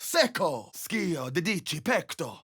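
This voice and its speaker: A man voicing a fierce fantasy spellcaster in a video game, declaiming incantations in an intense, gravelly, commanding voice. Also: a little high, big pitch swings, slow, a bit bright, no room sound, studio quality, full character voice. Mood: solemn, commanding.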